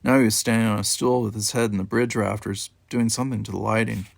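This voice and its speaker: Gravelly-edged man reading fiction, close and dry. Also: lowish voice, fairly even intonation, fast, dry recording. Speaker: amateur audiobook reader. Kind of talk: expressive scripted first-person fiction narration.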